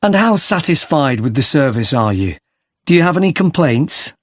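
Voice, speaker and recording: A man's voice: a little high, big pitch swings, moderate pace, dry recording, clean studio sound. Polite male survey interviewer, acted by a man. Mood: attentive. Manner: polite, clear questioning. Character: polite, sympathetic street interviewer doing a transport survey. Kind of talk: scripted everyday dialogue for a listening test.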